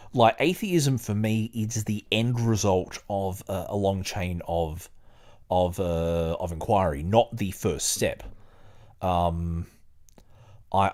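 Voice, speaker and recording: Male podcast host, lower voice, casual and halting, reads text aloud between digressions. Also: a little mellow. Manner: hesitant, halting, digressive when talking. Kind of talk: casual chat and reading aloud.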